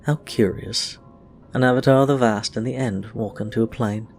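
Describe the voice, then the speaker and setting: A man's voice: medium pitch, fairly even intonation, moderate pace, faint music behind. A man reading fiction aloud as a scripted narration. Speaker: audio fiction reader. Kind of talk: scripted story narration with acted dialogue.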